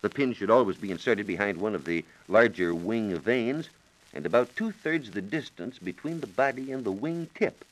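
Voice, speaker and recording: A male science reporter speaks on a vintage 1940s radio recording. His low voice moves through a wide, animated range of pitch. He explains things methodically, in careful and formal phrasing. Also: normal speed, rough recording.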